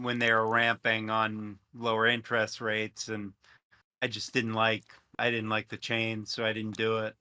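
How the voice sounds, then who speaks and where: Bright-voiced man, halting and casual. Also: mid-range voice, smooth. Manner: conversational, halting restarts. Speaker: guest, fund manager. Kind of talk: casual market discussion.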